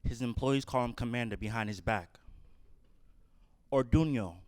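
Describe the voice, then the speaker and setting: Clear, dry-sounding man reading stage directions. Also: medium pitch, darkish tone, dry recording. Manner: measured, announcing items one by one. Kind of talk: scripted narration for a staged reading.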